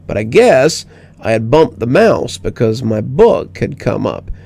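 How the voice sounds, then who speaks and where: A man narrating a scripted first-person horror story in a measured storytelling manner. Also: medium pitch, vocal fry, a little mellow.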